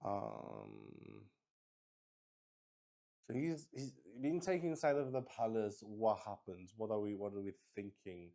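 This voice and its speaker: A man improvising game narration for a solo tabletop roleplay stream, musing out loud in a halting way. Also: on the low side, sing-song, gravelly. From Luxembourg.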